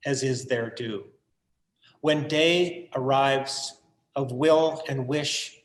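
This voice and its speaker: An actor playing an anxious, self-absorbed collector with earnest, literary phrasing in a staged play reading. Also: male voice, a little high, reverberant, poor audio. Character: anxious, self-absorbed, wordy male collector brooding on his legacy. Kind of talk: theatrical staged reading, monologue and verse.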